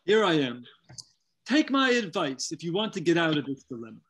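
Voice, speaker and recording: A man's voice: a little high, very animated, fairly quick, fairly bright tone, breathy edge, heavily acted. A middle-aged man playing a scheming, persuasive manservant in a staged comedy reading over a video call. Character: scheming, persuasive manservant advising his lovesick master. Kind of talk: staged reading of a comic play. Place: book-lined room at home. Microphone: computer on a video call. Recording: noisy home video-call audio.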